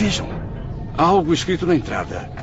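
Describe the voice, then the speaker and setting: A man's voice: a little high, very animated, a little fast, creaky. An actor in a radio drama playing an anguished man's spirit who recalls the afterlife in a dramatic, awed, reflective way. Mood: bewildered wonder. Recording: dull lo-fi radio drama under music.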